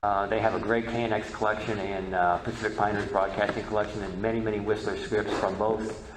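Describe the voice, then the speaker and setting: A man's voice: fairly low pitch, flat delivery, brisk. A man giving an explanatory conference talk as a panel speaker on radio history. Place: roomy indoor conference presentation space. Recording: echoey, noisy room recording.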